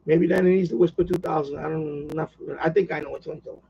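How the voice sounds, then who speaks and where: A man's voice: a little high, fairly even intonation, fast, vocal fry, muffled recording, slight background noise. A man hosting a hobby live stream, chatting casually in a rambling way and often repeating himself.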